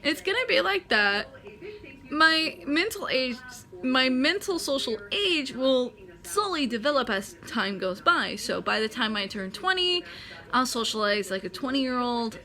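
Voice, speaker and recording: Young woman vlogger, nineteen, high bright voice, chatty and self-correcting. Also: big pitch swings, measured pace. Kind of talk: casual talking-head explainer.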